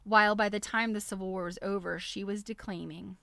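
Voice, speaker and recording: A woman hosting a live stream, reading a book aloud in an expressive, amused way with chatty, casual asides. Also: mid-range voice, brisk, vocal fry.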